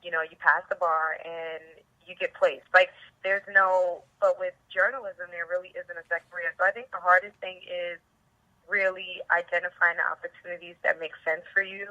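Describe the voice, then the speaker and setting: A woman's voice: fairly low pitch, steady tone, normal speed, bright, forceful tone, no echo, highs cut off. An American woman from New York chatting casually as an interview guest, outgoing, talkative and slangy, over a band-limited line. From Bronx and Harlem, New York, United States. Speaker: interview guest, TV host and film reporter.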